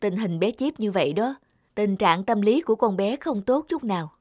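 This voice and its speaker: A woman's voice: mid-range voice, expressive, moderate pace, darkish tone, no room sound, clean studio sound, soft background music, natural voice. A woman playing a caring, earnest young teacher in a cartoon. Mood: soothing, earnest. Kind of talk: cartoon dialogue.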